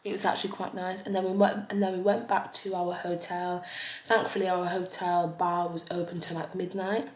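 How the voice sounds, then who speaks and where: A woman, chatting in a casual vlog while doing her makeup. She rambles with lots of fillers and gets animated when she talks about something that surprised her. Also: lowish voice, normal speed, reverberant. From Leeds, northern England. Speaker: vlogger.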